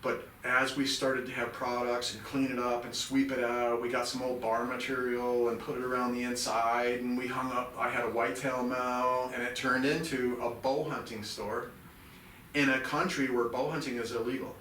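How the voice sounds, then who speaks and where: A man's voice: mid-range voice, level tone, normal speed, pushed and bright, a little hiss or hum. A middle-aged man telling his story as a podcast guest, earnest and rambling. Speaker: podcast guest, veteran nonprofit founder. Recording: roomy and distant from the mic.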